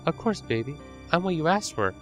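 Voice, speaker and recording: A man's voice: fairly high pitch, very animated, a little fast, voice pushed up. A man voicing an obsessive, unhinged young woman stalker who swings between rage, pleading and sugary menace. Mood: sweetly menacing. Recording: music playing underneath.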